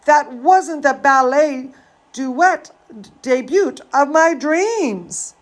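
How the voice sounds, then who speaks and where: Woman as a dramatic little zombie ballerina, slow-spoken. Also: high voice, voice pushed up. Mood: dejected, wondering. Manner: theatrical, stretched-out words. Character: young zombie girl ballerina, dramatic, earnest storybook narrator.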